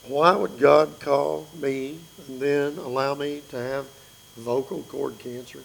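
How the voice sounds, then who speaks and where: A man in his fifties with a raspy voice, preaching an earnest sermon that pleads with his listeners. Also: medium pitch, fairly even intonation, slow pace, poor audio.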